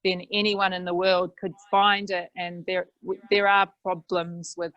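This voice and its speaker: A woman's voice: on the low side, level tone, unhurried, fairly bright tone, no room sound, muffled recording. A middle-aged woman with glasses and a headset, explaining the basics of a video call app in a plain, practical way. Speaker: library workshop host. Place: small office with green wall. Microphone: headset mic.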